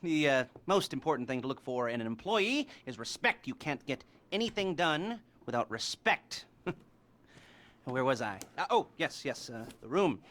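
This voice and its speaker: An actor playing a creepy, awkward middle-aged criminal planner in a video game, smug and stammering with nervous chuckles. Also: male voice, mid-range voice, sing-song, normal speed, a bit bright, a little hiss or hum. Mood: smug, bossy. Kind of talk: video game cutscene acting, halting improvised-sounding speech.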